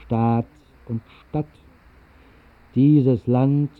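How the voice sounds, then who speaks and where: A man's voice: mid-range voice, fairly even intonation, drawn-out words. A man voicing stern, authoritarian marching citizens, declaiming solemn slogans in short stressed phrases for a radio play. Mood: stern, declamatory. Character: marching chorus of stern authoritarian citizens praising their emperor. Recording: muffled, narrow-band 1960s radio recording.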